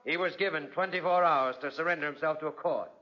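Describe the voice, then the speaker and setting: An actor playing a shrewd, needling country sheriff in a 1940s radio drama, with a knowing, pointed manner. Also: male voice, a little high, quick pace, close to an everyday voice. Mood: grave, insistent. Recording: dull, band-limited 1940s radio recording.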